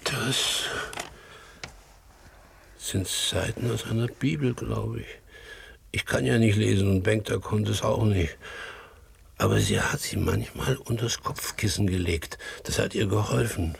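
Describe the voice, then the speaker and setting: An older man playing a poor, aging farmhand in a radio drama, a loving and dreamy father with a husky voice. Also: on the low side, slight background noise, full character voice. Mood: gentle. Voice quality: husky, gravelly.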